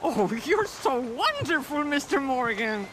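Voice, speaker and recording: Gentle, unhurried religious sister. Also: female voice, high-pitched, big pitch swings. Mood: wry, warm. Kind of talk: dramatic game cutscene acting. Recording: faint background noise.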